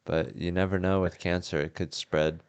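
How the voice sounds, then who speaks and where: A man improvises silly scenes on a podcast with a flat, deadpan delivery and frequent laughter. His voice is very deep and smooth. The recording is dry and a little dull. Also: moderate pace, no echo. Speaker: podcast host. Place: house.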